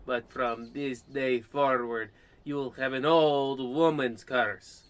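Man putting on a doddering old woman, slow-talking. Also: mid-range voice, steady tone, natural voice. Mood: vengeful, theatrical. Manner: rambling, forgetful, theatrical. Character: doddering old woman, muddled, dotty, vengeful ex-teacher. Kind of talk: parody of old-time radio drama.

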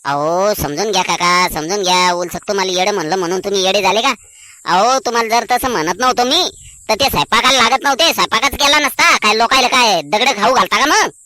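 A man playing a calm, placating peacemaker at a cartoon village wedding, reasoning and coaxing with a rural Maharashtrian accent. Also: very high voice, fast, few pauses, no room sound, heavily acted. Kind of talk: cartoon comedy dialogue.

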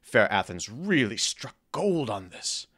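A man's voice: mid-range voice, very animated, a little slow, a bit bright, breathy edge, heavily acted. An actor playing a haughty, impatient fairy king with an exasperated, commanding manner in an audio drama.

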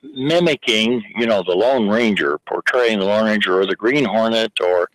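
A man's voice: on the low side, moderate pace, dry recording, low-quality recording. An older man calling in to a radio show, telling a rambling, fond story about an old friend. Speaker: caller. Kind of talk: phone-in call.

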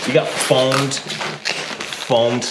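A man's voice: mid-range voice, level tone, extremely slow. A man in his thirties with dry, sarcastic humor, co-hosting a motorcycle build video in a home garage. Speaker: co-host of a motorcycle build channel. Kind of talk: casual workshop chat. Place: home garage workshop, indoors. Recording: echoey garage with clear noise.

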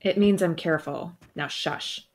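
A woman playing a breezily confident young sidekick in a motion comic, husky and flippant. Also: on the low side. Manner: flippant, brisk retorts.

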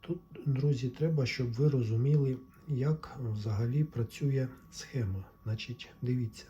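A man giving an informal technical tutorial, explaining step by step in a halting way. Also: mid-range voice, warm tone, a little hiss or hum. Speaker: electronics repair hobbyist presenter.

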